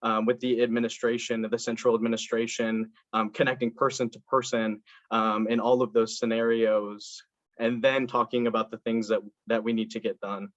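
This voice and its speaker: An earnest young man from Indiana makes his case as a student council candidate. He talks with frequent ums and restarts, in a mid-pitched voice. The video call audio is dull and dry. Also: little pitch movement, normal speed, dry recording. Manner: earnest, with many fillers and restarts. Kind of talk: campaign speech and answers to questions.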